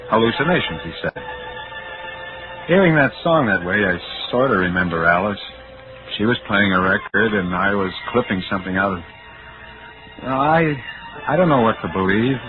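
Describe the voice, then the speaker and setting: Casting: male actor as haunted, delusional narrator of a vintage radio thriller, halting and suspenseful, widely swinging pitch, tinny recording under loud music. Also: lightly acted. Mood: bewildered, pleading. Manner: halting, suspenseful, breaking into panic. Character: haunted, delusional man confessing a nightmarish memory. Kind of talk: 1940s radio thriller acting, first-person narration.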